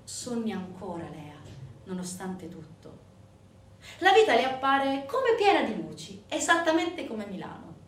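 A woman performing a scripted dramatic monologue as a stage narrator, with measured, weighted phrases. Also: on the high side, big pitch swings. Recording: roomy, distant sound with faint music.

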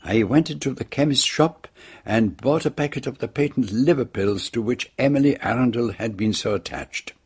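An actor playing a courteous, shrewd Belgian detective with a French-speaking accent, formal and measured, in a radio drama. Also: male voice, medium pitch, slightly rough. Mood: measured, probing. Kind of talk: radio drama acting and narration.

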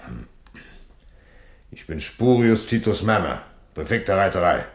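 Deep-voiced, urgent Roman officer. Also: male voice, sing-song, a little slow, echoey room, full character voice. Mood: grave, urgent. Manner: urgent, exclaiming, solemnly patriotic. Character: agitated, dutiful Roman cavalry officer bearing bad news. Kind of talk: German radio play acting.

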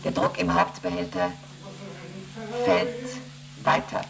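A man voicing an emotionless onboard computer in a radio play, reading out readings and rules in a flat, mechanical way. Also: low voice, slow, crowd noise. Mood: flat reporting. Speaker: ship's computer.

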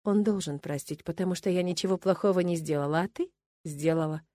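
A woman voicing a betrayed wife in an audiobook, speaking in a quiet, controlled way with wounded defiance. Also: fairly low pitch, a little fast, dry recording, lightly acted. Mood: quietly firm. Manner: quiet, controlled, breathy near-whispers. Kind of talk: dramatic audiobook character dialogue.

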